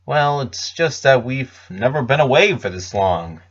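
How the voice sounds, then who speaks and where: A man's voice: medium pitch, expressive, unhurried. Man as a fretful motherly pony, muffled recording. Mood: worried. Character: kindly, fretful motherly female cartoon pony.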